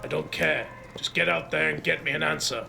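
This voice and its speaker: A man playing a gruff, impatient marshal in a cyberpunk audio drama, giving curt, demanding orders. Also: fairly high pitch, fairly even intonation, a little fast, over music. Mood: stern, impatient.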